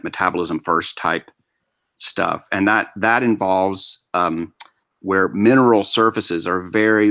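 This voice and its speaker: A man's voice: deep voice, pushed and bright, dry recording. A man explaining ideas eagerly in a casual online discussion. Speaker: debate guest.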